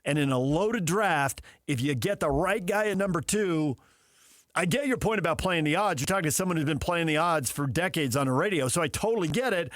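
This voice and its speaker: An American man talks as a sports radio host in a fairly high voice. He argues off the cuff, stacking repeated phrases to build a point. The sound is dry, with no room on it. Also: animated, brisk, fairly bright tone, no echo. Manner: builds points through repetition. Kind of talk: unscripted sports talk debate.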